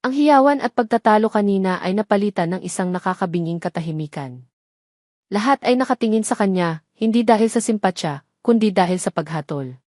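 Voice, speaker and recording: A woman narrates a dramatic audio story. Her voice sits at an average pitch. The sound is dry, with very little room on it. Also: expressive, brisk, a little mellow, dry recording.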